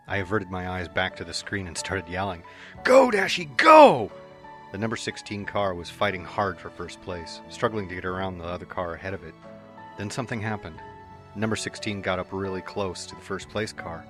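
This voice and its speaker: A man narrating a story in the first person as a dramatic reading, in a steady, earnest storytelling manner. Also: deep voice. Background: soft background music.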